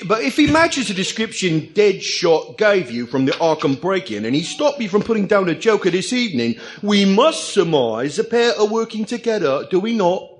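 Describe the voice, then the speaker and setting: A man's voice: high voice, sing-song, moderate pace, hardly any pauses. A man playing a loyal butler in an amateur radio drama, formal, wry and deferential. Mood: wry, concerned. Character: dry-witted, loyal male butler.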